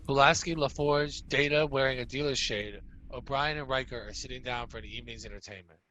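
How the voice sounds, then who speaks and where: Male narrator for a script reading, crisp bright tone, mid-range pitch, reading scene directions. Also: a little fast, a little hiss or hum, poor audio. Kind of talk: scripted narration at amateur table read.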